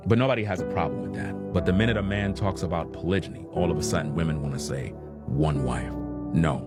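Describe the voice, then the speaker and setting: A man's voice: low-pitched, fast talker, loud music behind the voice. A man lecturing in a combative, preaching way. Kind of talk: preaching commentary.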